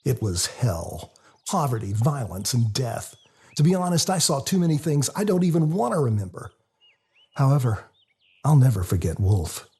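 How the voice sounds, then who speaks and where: A man reading a novel aloud as a first-person dramatic narrator, in a confessional, expressive storytelling manner. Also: medium pitch, normal speed, husky. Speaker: author reading their own novel. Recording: music under the voice. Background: music.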